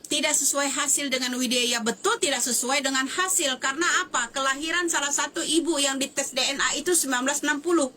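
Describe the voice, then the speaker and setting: Woman, fifties, fiery, emphatic, repetitive, high bright voice. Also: fast, few pauses, slight background noise. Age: 50s. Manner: fiery, emphatic, repeats phrases and demands answers. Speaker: social media commentator. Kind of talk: impassioned video statement.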